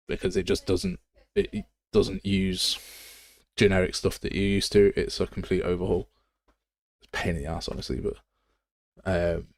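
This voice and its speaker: Deep-voiced Midlands Englishman, casual streamer. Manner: rambling, blunt, informal, thinking aloud. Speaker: game development livestreamer. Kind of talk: casual live tutorial chat.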